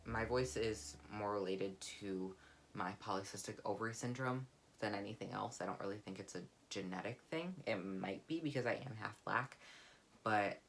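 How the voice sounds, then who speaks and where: A young woman with a deep, warm voice, speaking candidly and reflectively in a personal vlog. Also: normal speed, slight background noise. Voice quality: deep, low voice; warm, comforting. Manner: candid, reflective, soft-spoken. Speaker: vlogger. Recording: guitar music playing underneath. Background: guitar music.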